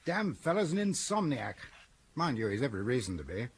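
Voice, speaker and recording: An actor playing an imperious British police commissioner in a radio drama, barking clipped commands. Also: male voice, medium pitch, sing-song, fairly quick, breathy edge. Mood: brusque, irritable.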